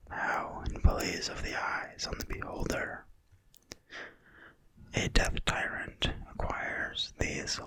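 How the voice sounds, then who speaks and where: An ASMR creator reading in a quiet, breathy, soothing whisper with no voicing at all. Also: poor audio. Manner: quiet, slow, soothing delivery. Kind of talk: whispered ASMR reading of a fantasy game manual.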